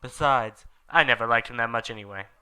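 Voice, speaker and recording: A man's voice: mid-range voice, a little fast, pushed and bright, smooth, voice pushed down. A man voicing a grumpy, mean old headmaster wizard with a sneering, dismissive manner.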